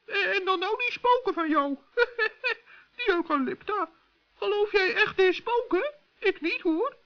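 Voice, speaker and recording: Little male forest gnome: extremely high, chirpy, kindly, curious, gently scolding; muffled old radio-play sound. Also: lively intonation, slow, exaggerated voice. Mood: kindly, amused. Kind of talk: children's radio play acting. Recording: dull old radio recording.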